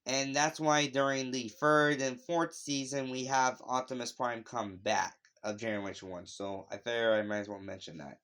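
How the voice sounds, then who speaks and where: A man's voice: mid-range voice, steady tone, moderate pace, few pauses, fairly bright tone, smooth. A man hosting a casual, unscripted video review, talking in a rambling, conversational way that drifts into asides. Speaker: YouTube video host reviewing animated shows. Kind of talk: casual unscripted opinion vlog.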